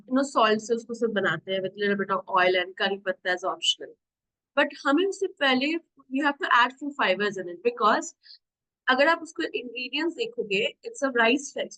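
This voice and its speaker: A young Indian woman talks into her computer mic on a video call, presenting a nutrition session. Her voice is fairly high and bright, and she talks fast. She is chatty and encouraging and keeps asking her listeners questions. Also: rough recording. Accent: Indian English. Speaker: diabetes nutrition coach presenting a webinar. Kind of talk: online webinar talk, mixing English and Hindi.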